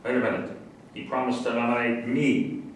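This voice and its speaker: A man's voice: mid-range voice, flat delivery, normal speed, distant mic, close to an everyday voice. A man playing a sarcastic, contrary professor in a staged play reading. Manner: contrary, retorting. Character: touchy, sarcastic professor and government researcher. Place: echoey room at a staged reading. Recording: echoey, noisy room recorded from afar.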